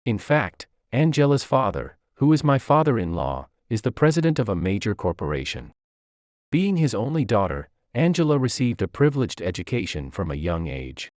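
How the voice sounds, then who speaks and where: Low-voiced man narrating a story. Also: very animated, moderate pace, darkish tone, no room sound, clean studio sound. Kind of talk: scripted first-person story narration.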